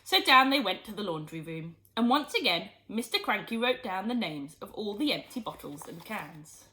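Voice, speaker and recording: Animated woman reading a children's story. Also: mid-range voice, moderate pace, rough recording. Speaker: storybook reader. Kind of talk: expressive scripted narration for children.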